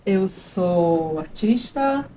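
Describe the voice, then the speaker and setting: A woman's voice: mid-range voice, expressive, extremely slow, dark tone, slight background noise, rough recording. A woman, a guest chatting casually in an interview, rambling with fillers and restarts. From Brazil. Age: 30s. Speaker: guest actress and producer.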